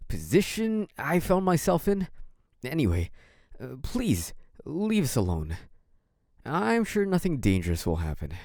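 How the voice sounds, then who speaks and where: A man's voice: medium pitch, a little mellow, dry recording, clean studio sound. A man playing a young mafia heir in an audio roleplay, a cunning schemer who wears politeness as a mask. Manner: hesitant and apologetic act, turning smug and taunting. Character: young mafia heir, feigned naive politeness hiding cunning, possessive menace. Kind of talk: dramatic first-person audio roleplay.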